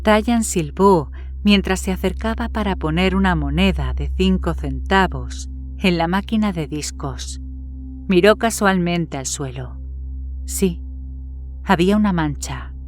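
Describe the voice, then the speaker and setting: A woman's voice: on the low side, a little slow. A woman reading a horror story as narrator, in scripted literary narration. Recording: music behind the voice.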